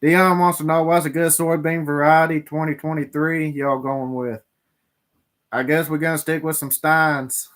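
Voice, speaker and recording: A man, a farmer co-hosting a livestream and reading out viewer questions in casual chat. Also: fairly high pitch. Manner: drawling, relaxed, halting with fillers.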